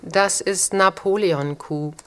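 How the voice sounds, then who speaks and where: A woman's voice: mid-range voice, takes it slowly, a bit bright. A woman delivering a short scripted line, recorded in a voice booth. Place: dry voice booth.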